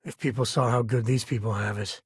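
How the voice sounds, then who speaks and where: A husky, dry-recorded game companion, a space-cowboy father with a sardonic streak. He sits at an ordinary male pitch and talks in a casual, folksy, protective way. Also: steady tone, a little fast, no echo. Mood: wry, easygoing. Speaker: video game voice actor. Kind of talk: scripted game companion dialogue.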